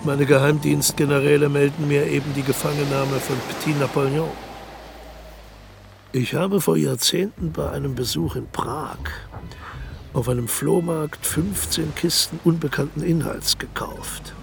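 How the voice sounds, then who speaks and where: Extremely gravelly, menacing dictator colonel. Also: male voice, on the high side, steady tone, unhurried, a little hiss or hum. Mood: gloating menace. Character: eccentric male dictator colonel, grandiose, cynical, quietly menacing. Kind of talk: radio play dialogue.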